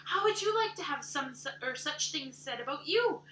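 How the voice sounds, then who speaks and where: A woman voicing a furious, fiery-tempered orphan girl who shouts vehemently and near tears in a storybook reading. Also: high voice, animated, moderate pace, recorded from a distance, voice pushed up. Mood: furious, tearful. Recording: roomy echo.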